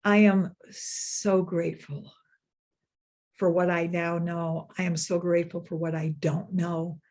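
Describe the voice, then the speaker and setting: Older female minister, sermon delivery, lower voice, earnest, drawn-out emphasis. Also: little pitch movement, darkish tone. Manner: earnest, repeats phrases, slows down for emphasis. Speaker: senior minister.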